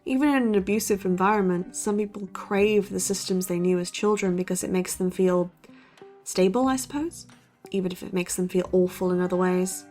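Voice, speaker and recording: Young female college student played by a woman, mid-pitched, dry wit, precise delivery, podcast-style drama over soft music. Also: a little fast, lightly acted. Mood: wry. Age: young adult. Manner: deadpan, precise.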